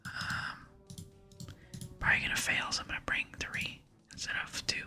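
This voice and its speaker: An ASMR gaming host whispering casual commentary, softly murmuring thoughts aloud while playing. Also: normal speed, faint music behind. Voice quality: soft breathy whisper. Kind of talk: ASMR gameplay commentary, reading dialogue aloud.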